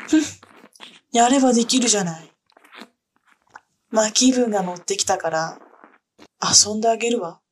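A woman's voice: a little high, normal speed, long pauses, slight background noise, natural voice. A woman playing a haughty, contemptuous young dominatrix who speaks in a bossy, scornful voice as she gives commands in an adult audio drama. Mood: scornful, commanding.

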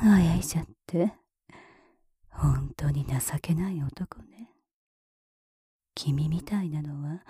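A woman voicing a cool, mocking, dominant woman in a whispered ASMR roleplay. She speaks very close to the mic, in a soft whisper broken by taunting laughs. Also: lowish voice, slightly rough, dry recording. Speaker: voice actress.

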